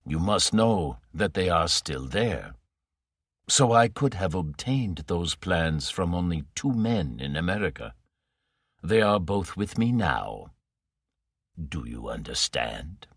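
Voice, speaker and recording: A man voicing a calm, menacing villain who speaks mildly and slowly. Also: breathy edge, no echo, clean studio sound, exaggerated voice. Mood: cold, condescending. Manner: mild, low, slow and quiet. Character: cold, sinister mastermind, calm and menacing.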